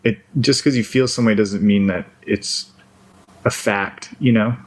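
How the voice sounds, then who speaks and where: A man in a casual phone conversation, speaking calmly and asking questions. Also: on the low side, unhurried. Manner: calm, questioning.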